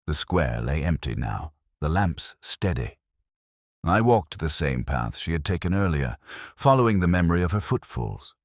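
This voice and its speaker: An older man playing a melancholy, cerebral detective, narrating a scripted mystery in a measured, reflective way. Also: rumbling low, very animated, dry recording, studio quality, exaggerated voice. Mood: brooding, reflective. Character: melancholy, cerebral, brooding male English police detective.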